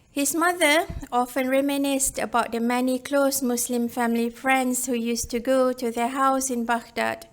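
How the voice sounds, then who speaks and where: High-voiced woman narrating a book review.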